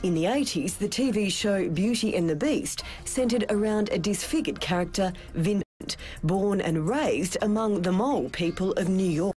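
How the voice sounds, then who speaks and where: A woman reporter reading a scripted current-affairs voice-over in a measured, dramatic storytelling way. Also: mid-range voice, animated, darkish tone. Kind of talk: scripted news narration. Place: studio voice-over.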